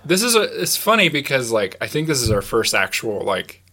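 Male podcast host, bright voice, a little sniffly, chatty and loose. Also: mid-range voice, sing-song, brisk. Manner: laughs often, rambling with fillers. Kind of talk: casual podcast chat. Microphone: headset mic.

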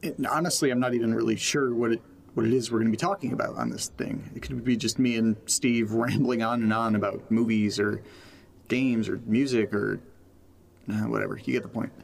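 A man's voice: medium pitch, steady tone, brisk, gravelly. An actor playing a rambling, self-deprecating young man recording a casual, joking audio diary. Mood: wry, upbeat. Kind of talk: found-footage audio drama, casual podcast monologue.

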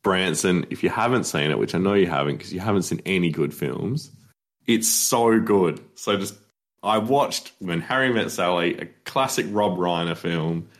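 A man's voice: low voice, animated, normal speed. A man with an Australian accent co-hosting a football podcast, enthusiastic and teasing in casual chat. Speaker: podcast co-host.

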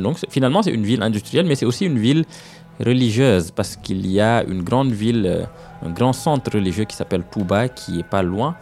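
A deep-voiced man in his thirties from Senegal, first language Serer, giving slow, thoughtful interview answers. His voice carries a gravelly edge, and he often pauses and restarts his sentences. Faint music plays softly behind him. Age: 30s. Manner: measured, hesitant with many fillers and restarts. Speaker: guest author.

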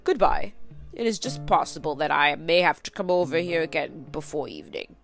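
A shrewd, composed gentleman detective from a classic storybook, voiced by a woman. The delivery is formal, measured and assured, with clear music playing behind. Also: sits low, animated. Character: confident male detective, brisk, shrewd and composed.

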